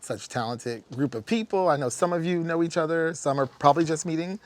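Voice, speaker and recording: A man's voice: on the high side, quick pace. A middle-aged man hosting a roundtable interview who asks friendly, open questions. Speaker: interview host.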